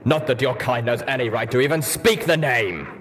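A man's voice: mid-range voice, animated, moderate pace, a bit bright, slight background noise. A man voicing a stern, suspicious old soldier pony in armor, indignant and commanding, with a reverberant helmet voice, for a fantasy audio story. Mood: outraged.

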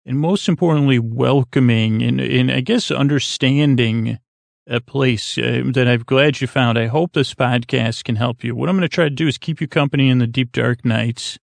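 Creaky-voiced man rambling gently. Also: medium pitch, no echo. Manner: meandering, halting, self-interrupting delivery; gentle, calm. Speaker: podcast host. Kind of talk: rambling, soothing bedtime podcast monologue.

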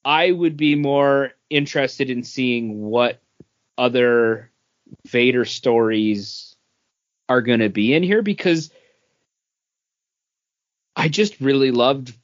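A man's voice: mid-range voice, slow pace, pauses often, no echo, highs cut off. A man co-hosting a podcast, giving his opinions in casual, conversational review chat. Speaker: comics podcast co-host.

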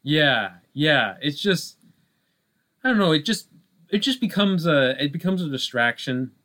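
A man co-hosting a casual film discussion podcast, speaking haltingly and peppering his sentences with "you know" and "like". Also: fairly high pitch, expressive, sharp tone. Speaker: podcast co-host.

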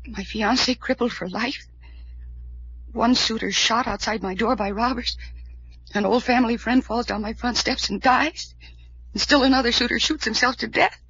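Troubled young heiress in muffled old radio drama. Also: female voice, on the high side, fairly bright tone, slightly rough. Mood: bitter, distressed. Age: young adult. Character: troubled, anxious twenty-four-year-old heiress, earnest and resigned. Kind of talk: 1940s radio drama acting.

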